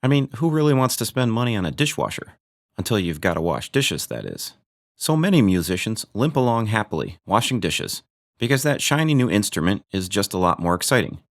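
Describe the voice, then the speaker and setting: A man's voice: lowish voice, big pitch swings, a little fast. A man hosting a gear review video, narrating from a script with dry, joking asides.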